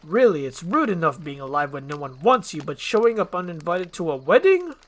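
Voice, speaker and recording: A woman's voice: fairly low pitch, sing-song, brisk. A woman plays a scheming storybook lord. He sounds haughty and dismissive, with pompous, swooping intonation. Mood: haughty outrage. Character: pompous, scheming male fairy tale lord.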